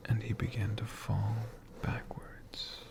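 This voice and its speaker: A storyteller whispering a tale for ASMR in a hushed, breathy voice with slow, dramatic pauses. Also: medium pitch, little pitch movement. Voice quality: breathy whisper with creaky edges.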